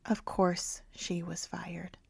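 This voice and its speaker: Woman audiobook reader for romance fiction. Creaky, mid-pitched, hushed at phrase ends, very dry sound. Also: monotone, takes it slowly, dry recording. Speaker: author reading own audiobook. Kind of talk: scripted narration, romance audiobook.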